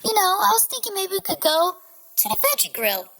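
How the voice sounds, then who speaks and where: Man putting on a squeaky, creaky snippy girlfriend. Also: huge pitch swings, a little fast, sharp tone, heavily acted. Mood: suggesting.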